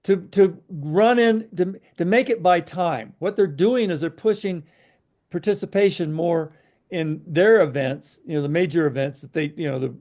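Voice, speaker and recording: A man's voice: a little high, moderate pace, a little mellow. A man co-hosting a podcast, giving frank opinions in casual chat, hesitant and full of fillers. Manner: frank, hesitant, many "you know" fillers. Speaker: podcast co-host.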